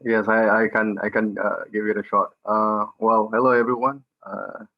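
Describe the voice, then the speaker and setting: A Kenyan-accented man speaks on a panel in a low, level voice that barely rises or falls. He feels his way through his answer with restarts and pauses. The sound is dull and lo-fi, like call audio. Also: flat delivery, creaky. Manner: halting, searching for words, many restarts. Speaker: panelist, medical student.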